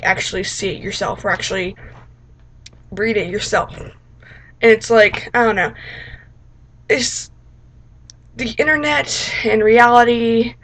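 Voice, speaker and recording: A woman's voice: fairly high pitch, a bit bright, rough recording. A woman vlogger chatting casually in a rambling, informal, self-deprecating way for a reaction video. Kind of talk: casual reaction vlog chat.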